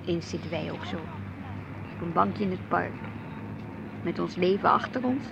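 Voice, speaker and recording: An actress playing a wistful, discontented married housewife in a radio play. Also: female voice, on the low side, normal speed, creaky, muffled recording, background noise. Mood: melancholy. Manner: expressive, brooding, plaintive.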